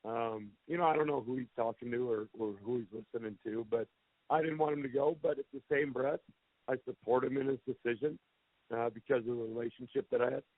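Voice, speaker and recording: A man's voice: mid-range voice, fairly even intonation, fairly quick, dry recording. A man, a head football coach, answering reporters' questions on a press conference call in a candid, plainspoken, self-deprecating way. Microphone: telephone. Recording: tinny phone line, dry.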